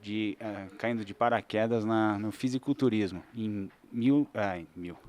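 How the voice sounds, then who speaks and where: A man's voice: fairly low pitch, a little slow, a little mellow. A man in his forties from southern Brazil, a fitness trainer chatting as a podcast guest. He explains things at length in an easygoing way, with restarts and fillers. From Santa Catarina, Brazil. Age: 40s. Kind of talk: casual interview chat.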